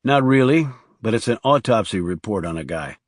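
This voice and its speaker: A man's voice: lowish voice, expressive, a little fast, no room sound, clean studio sound. A man voicing a guarded, blunt male assassin in terse audiobook dialogue. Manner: terse, questioning.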